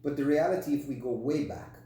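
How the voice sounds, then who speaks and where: A man's voice: medium pitch, fairly quick, warm tone. A middle-aged man co-hosting a podcast as a relationship coach, talking in a frank, emphatic, opinionated conversational way. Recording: very echoey room, distant mic, faint hum.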